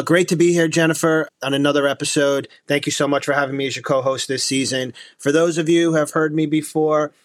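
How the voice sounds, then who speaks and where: A man's voice: mid-range voice, fairly bright tone, no room sound. Co-host: man, mid-range voice, friendly and casual, dry close-mic sound. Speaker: podcast co-host. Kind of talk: casual self-introduction.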